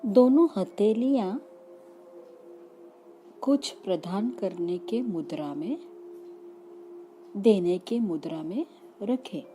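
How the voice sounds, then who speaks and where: A woman leading a guided meditation in soothing short phrases with long pauses between them. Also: mid-range voice, expressive, dark tone, slight background noise. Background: background music.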